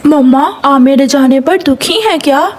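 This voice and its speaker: A woman's voice: high-pitched, normal speed, darkish tone, lightly acted. A woman voicing a devoted young boy bird in a cartoon, earnest and reassuring toward his mother. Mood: worried, comforting. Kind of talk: Hindi moral-story cartoon acting.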